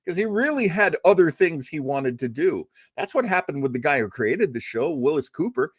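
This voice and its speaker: A man's voice: a little high, sing-song, normal speed, husky, dry recording, studio quality. A man hosting a casual live stream, talking in an enthusiastic, rambling way and acting out bits of conversation. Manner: enthusiastic, rambling, acts out quotes. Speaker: livestream host.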